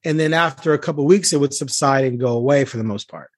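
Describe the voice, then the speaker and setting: A man's voice: mid-range voice, fast talker, no room sound, studio quality. A man hosting a casual sports podcast, talking conversationally as a reporter with a joking streak.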